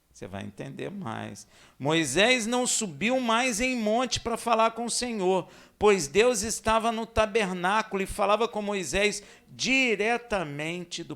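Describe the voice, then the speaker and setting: A man from Minas Gerais with a Brazilian accent, preaching and teaching scripture in a conversational way, with rhetorical questions, dramatic emphasis and hushed asides. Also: fairly high pitch, gravelly. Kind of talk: expository sermon with scripture reading.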